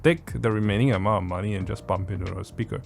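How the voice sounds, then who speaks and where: Low-pitched man giving a blunt tech review. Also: fairly even intonation, fast, creaky, faint music behind. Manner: blunt, casually sweary, opinionated. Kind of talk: casual product review vlog.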